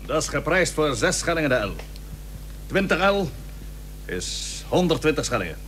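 An actor playing a plucky, stubborn young seafarer and merchant in a period radio drama, speaking earnestly in formal old-fashioned phrases. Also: male voice, a little high, sing-song, normal speed, a bit bright. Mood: firm, unyielding. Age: young adult. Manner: earnest, formal archaic speech. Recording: noisy old radio recording with hum.

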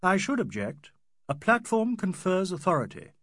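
A man's voice: high voice, big pitch swings, normal speed, a bit bright, no room sound, clean studio sound, voice pushed up, full character voice. A man voicing an eminent, formal barrister in an audiobook, courteous and firm, with an Oxford English accent. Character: eminent Indian barrister, large, formal, coolly objecting.

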